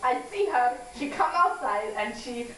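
A woman's voice: sits high, very animated, unhurried, a bit bright, exaggerated voice. A woman putting on an indignant, insistent neighbor in broken English for a prank phone call. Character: indignant neighbor accusing someone of hurting her dog. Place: small bedroom with friends, indoors. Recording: echoey room, distant mic, faint noise.

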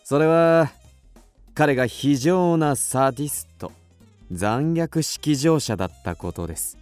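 An actor playing a cool, self-assured young detective in a radio drama, explaining things deliberately and theatrically. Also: male voice, fairly high pitch, expressive, a little mellow, faint music behind. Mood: intense, revealing. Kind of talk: Japanese radio drama acting.

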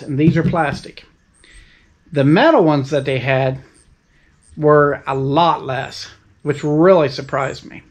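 A man hosting his own channel, casually demonstrating car ramps outdoors in a folksy, plain-spoken, step-by-step way. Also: on the high side. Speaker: channel host reviewing tools.

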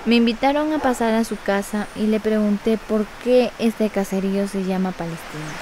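A woman's voice: medium pitch, moderate pace, a little mellow. A woman narrates a radio story in the first person. It is scripted storytelling in a mid-range voice, with faint ambient noise and birdsong behind it.

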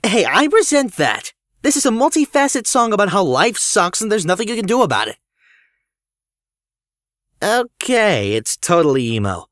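Woman voicing an animated, anxious teenage schoolboy. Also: mid-range voice, moderate pace, no echo, clean studio sound, lightly acted. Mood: playful. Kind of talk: audio drama acting.

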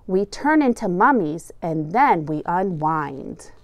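A middle-aged woman teacher reading a children's picture book aloud in a deliberate, expressive storytelling manner. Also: fairly low pitch, dry recording.

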